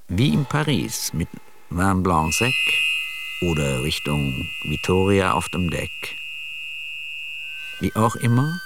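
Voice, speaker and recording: A man's voice: on the low side, background noise. A man reciting rhyming verse. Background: music.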